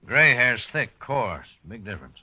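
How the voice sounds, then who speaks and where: An actor playing a dry, assured police doctor, clipped and matter-of-fact, in 1950s radio drama style. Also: male voice, medium pitch, sing-song, measured pace, bright, forceful tone, exaggerated voice. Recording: dull, noisy vintage 1950s radio recording.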